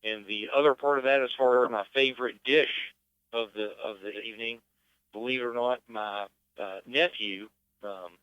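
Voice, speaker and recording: Bright, clear middle-aged American man hosting. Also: mid-range voice, steady tone, normal speed, no room sound. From West Virginia, United States. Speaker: ham radio net control operator. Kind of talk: unscripted radio net hosting, casual chat. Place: amateur radio transmission. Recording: slightly dull, dry radio-net audio.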